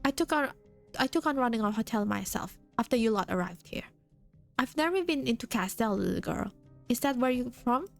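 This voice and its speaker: A woman voicing a warm, rambling older man who keeps a hotel, with faint music behind. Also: a little high, lively intonation, brisk, gravelly. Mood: fond. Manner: rambling, fond, nostalgic. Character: warm, chatty older male hotel keeper.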